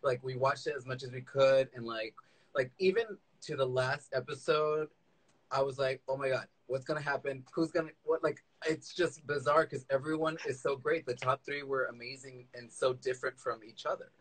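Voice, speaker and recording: A man's voice: mid-range voice, steady tone, moderate pace, a bit bright, poor audio. A man hosting a casual chat interview in a gushing, informal way. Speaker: interviewer, drag queen host. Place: indoors, small room. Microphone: phone at arm's length, video call.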